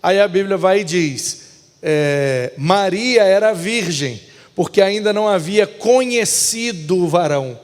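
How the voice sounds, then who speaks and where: A pastor teaching a hermeneutics class, explaining with rhetorical questions. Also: male voice, high voice, expressive, a little slow, big-room sound. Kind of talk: bible class lecture.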